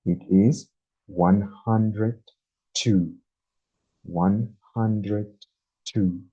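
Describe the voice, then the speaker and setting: Male teacher in his twenties, slow and deliberate, dark husky tone, dull lo-fi sound. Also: lowish voice, steady tone. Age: 20s. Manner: very slow, deliberate, repeats each number. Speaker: English teacher. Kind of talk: beginner English lesson. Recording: dull lo-fi recording.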